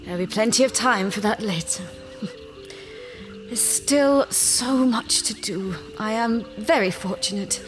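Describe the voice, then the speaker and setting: An actress playing an ancient, dying alien great-grandmother in a sci-fi audio drama, grave and serene, with a deliberate delivery. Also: medium pitch, music in the background, close to an everyday voice. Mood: gentle. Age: older. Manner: slow, deliberate, solemn.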